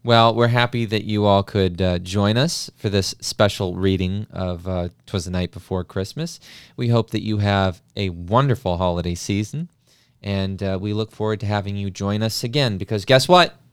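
Smooth, lower-pitched man hosting story readings. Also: normal speed, dry recording. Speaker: host of a story-reading show. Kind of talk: dramatic poem reading and casual chat.

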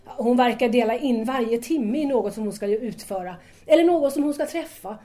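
Woman acting eager young female student, mellow, slightly high voice, curious, deferential, audio drama. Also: natural voice. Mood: admiring.

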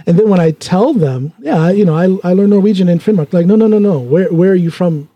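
An American man hosting a podcast, chatting in animated quick bursts and laughing easily. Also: high-pitched, no echo, highs cut off. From United States. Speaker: podcast host. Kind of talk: casual interview chat.

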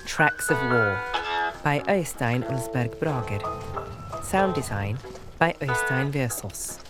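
A woman's voice: a bass voice, lively intonation, slow. A woman reading scripted documentary-style narration for an audio drama. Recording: prominent guitar music behind voice. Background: music.

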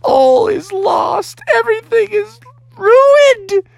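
Man playing an excitable, melodramatic boy bear. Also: extremely high pitch, a little slow, no echo, pitched above the performer's own voice, heavily acted. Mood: anguished. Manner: wailing, exclaiming, melodramatic.

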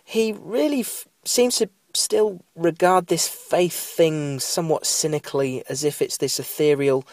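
A man's voice: on the high side, no echo. A man in his thirties with a cold, sounding nasal and bunged up as he hosts a casual, rambling TV recap podcast. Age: 30s. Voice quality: nasal, congested. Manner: rambling, digressive, apologetic asides. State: has a cold. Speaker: podcast host.

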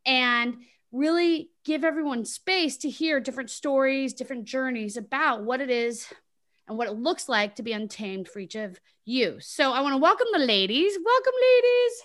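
A high, bright woman's voice that swings freely up and down. She hosts a casual panel chat, chatty and laughing, with easy swearing. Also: expressive, normal speed. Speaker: podcast host.

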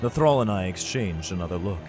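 A man narrates in the first person as a father fleeing danger. He tells it urgently and tensely, with his pitch rising and falling widely. Clear music runs under his voice. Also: expressive, fairly quick, a little mellow. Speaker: narrator and lead character, a father.

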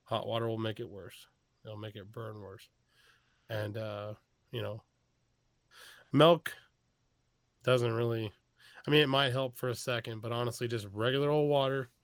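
A man's voice: medium pitch, fairly even intonation, moderate pace, long pauses, no echo. A man with a Texas accent, chatting casually as a podcast guest, often restarting his sentences. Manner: rambling, frequent restarts, chuckles. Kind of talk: casual chat.

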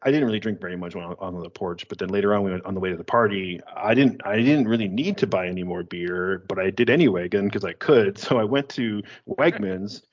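A man's voice: lowish voice, fast talker, few pauses. A man in his thirties from Western New York, co-hosting a podcast and telling a rambling story with frequent laughs and restarts. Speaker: podcast co-host. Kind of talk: casual chat. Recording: dull lo-fi audio.

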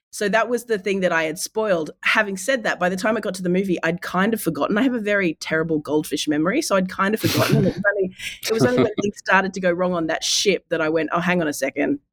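Husky woman with a head cold. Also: fairly low pitch, dry recording, studio quality. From Australia. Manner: animated storyteller, laughs often. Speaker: podcast guest. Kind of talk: casual podcast chat.

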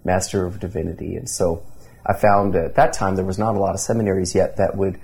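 A man's voice: sits low, fairly even intonation, a little fast, creaky, a little mellow, slightly rough. A man in his thirties from Indiana, a pastor telling his story in an earnest conversational interview, with many restarts. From Indiana, USA.

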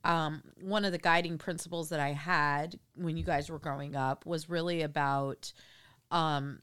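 A woman's voice: deep voice, steady tone, moderate pace, a bit bright, clear, resonant tone. A middle-aged woman co-hosting a family podcast, speaking reflectively about raising her kids. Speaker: podcast co-host, mother. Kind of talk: casual family chat.

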